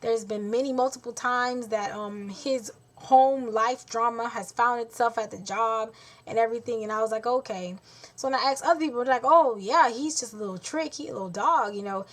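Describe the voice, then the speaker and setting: A woman's voice: on the high side, moderate pace. A woman in her twenties telling a story to camera in a blunt, exasperated way, acting out what others said to her. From Haitian heritage. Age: 20s. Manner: blunt, exasperated, talks with her hands in quotes. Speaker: vlogger. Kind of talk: casual storytelling vlog.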